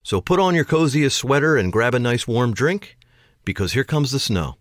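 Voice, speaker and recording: A man's voice: medium pitch, sing-song, moderate pace. A man hosting a podcast, reading a scripted introduction. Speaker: podcast host.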